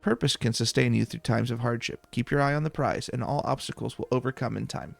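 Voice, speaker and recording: A proud adventurer leader, read out by a man speaks in formal, archaic fantasy-game lines. The voice is middling in pitch with a gravelly creak, and the delivery is earnest and grandiose over music. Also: fairly quick, no echo. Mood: earnest, lofty. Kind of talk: reading game dialogue aloud.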